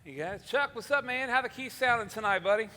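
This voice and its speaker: A man's voice: extremely high pitch, expressive, a little fast, pushed and bright, full character voice. A man playing an easygoing, folksy boss of a live radio show in a stage comedy, chatting with hesitant starts. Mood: upbeat, bustling. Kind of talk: theatrical stage comedy acting. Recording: reverberant hall, live stage sound.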